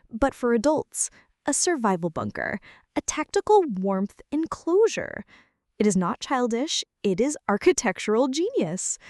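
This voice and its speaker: A woman's voice: high-pitched, a little mellow, no echo, clean studio sound. A woman playing a flirty, playfully bossy friend in an intimate, scripted ASMR roleplay, speaking straight to the listener with mock-dramatic exaggeration.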